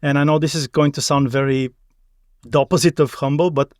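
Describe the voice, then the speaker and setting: A man's voice: on the high side, steady tone, a little fast, no echo. Finnish-accented man as podcast guest, mid voice, dry sound, careful English. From Finland. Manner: careful non-native English with restarts. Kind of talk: casual interview chat. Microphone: video call.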